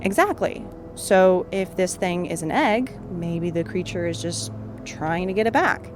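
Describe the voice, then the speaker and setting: A woman's voice: lowish voice, a little slow, over music, close to an everyday voice. An actress playing a skeptical, teasing young woman in an audio drama, speaking in a dry, doubtful manner.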